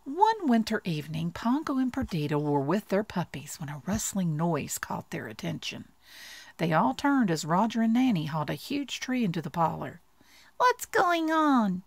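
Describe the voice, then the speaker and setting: A woman reading a bedtime storybook aloud in an expressive storytelling style. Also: fairly low pitch, moderate pace, gravelly, no echo. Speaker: storybook reader.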